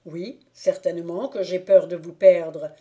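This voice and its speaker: A woman's voice: on the low side, fairly even intonation, a little slow, warm tone, lightly acted. A woman voicing a drunken young clog-maker who is touchy, quarrelsome and boastful. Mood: indignant.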